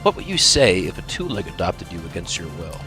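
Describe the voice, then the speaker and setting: A man voicing a playful tomcat warrior who reads out fan questions as announcer, teasing, goofy and deadpan by turns. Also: sits low, a little fast. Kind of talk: improvised in-character fan Q&A. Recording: music behind the voice.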